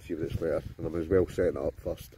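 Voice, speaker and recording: A man's voice: a bass voice, fairly even intonation, quick pace, mellow, slight background noise. A Scottish man in his forties, chatting casually to a phone camera held at arm's length, outdoors by a river and inside a tent, rambling with many fillers. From Scotland. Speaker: vlogger.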